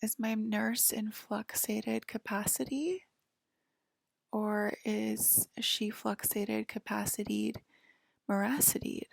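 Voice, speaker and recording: A woman softly whispering an ASMR roleplay to the listener as a polite, attentive alien abductor, pausing often. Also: mid-range voice, fairly even intonation, gravelly, dry recording. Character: polite, attentive female alien abductor queen acting as medical examiner. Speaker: ASMR performer.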